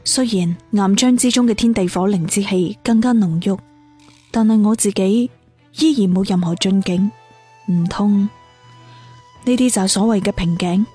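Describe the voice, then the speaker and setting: A woman's voice: mid-range voice, moderate pace, mellow, breathy edge, lightly acted. A woman playing a determined, brooding young cultivator hero in earnest inner monologue for an audio story. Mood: brooding, frustrated. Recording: music bed behind the voice.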